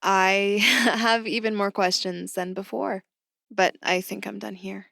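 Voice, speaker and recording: A woman's voice: medium pitch, little pitch movement, measured pace, creaky, pushed and bright, no echo, clean studio sound. An actress playing a curious, polite, somewhat hesitant young woman recruit in an audio drama. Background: music.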